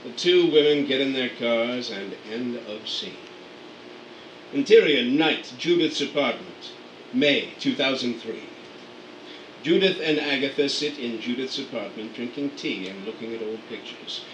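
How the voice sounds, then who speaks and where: A man's voice: mid-range voice, animated, measured pace, a bit bright. Man reading scene directions in a roomy room. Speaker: narrator reading stage directions. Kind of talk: radio play scene directions. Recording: roomy, distant mic, air conditioning hum.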